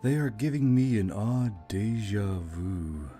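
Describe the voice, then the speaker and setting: Male actor as musing magical spirit, fantasy audiobook; lowish, extremely mellow, measured delivery. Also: lively intonation. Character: pensive male spirit inside a magic torque. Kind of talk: audiobook character dialogue. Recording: music under the voice.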